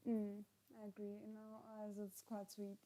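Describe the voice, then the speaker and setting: A woman with a mid-range voice hosts a live reaction stream. She chats casually with her viewers, in a halting way that often trails off before the thought is finished. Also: mid-range voice, completely flat delivery, warm tone, low-quality recording. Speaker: watchalong reaction host.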